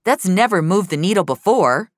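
A woman voicing a gruff, regretful older male mentor in an audio drama. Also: fairly low pitch, animated, moderate pace, no room sound, studio quality, pitched above the performer's own voice, lightly acted. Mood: grave, insistent. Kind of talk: audio fiction acting.

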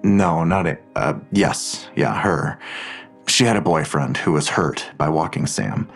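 An actor playing a protective, secretive man digging into a crime, speaking in a measured, reassuring way in an audio drama. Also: extremely low pitch, creaky, faint music behind, full character voice. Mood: guarded, focused.

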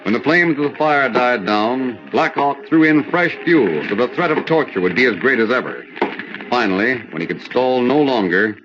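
A man's voice: medium pitch, lively intonation, normal speed, over music, natural voice. A man narrating a vintage radio Western in a scripted 1940s storytelling style. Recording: dull old-time radio recording.

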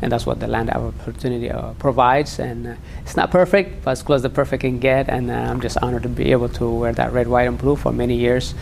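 A man from Eritrea speaks in an interview, halting and heartfelt, with plenty of fillers. His voice is gravelly and flat in pitch, over a faint low hiss. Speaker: interviewee, former Olympic runner. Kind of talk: interview answer.

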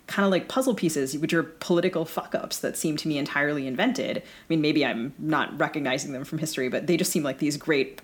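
Female podcast co-host, distinctly low voice, casual bookish interview style, builds up long winding questions.

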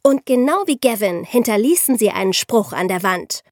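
A woman hosting a podcast, telling true-crime stories in a conversational way and addressing listeners directly, like an animated storyteller. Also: medium pitch, moderate pace, no echo, studio quality. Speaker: podcast host.

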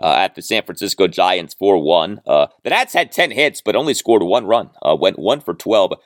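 A man delivers a solo sports talk monologue as a podcast host. His pitch swings widely as he leans on key phrases with dramatic emphasis and rhetorical repeats. The sound is dry, with no room echo. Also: sing-song, normal speed.

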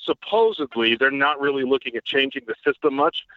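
Brief: guest analyst, man, rapid, detailed explainer, lo-fi remote line. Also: mid-range voice, expressive, dry recording. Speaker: guest football writer. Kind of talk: casual podcast chat.

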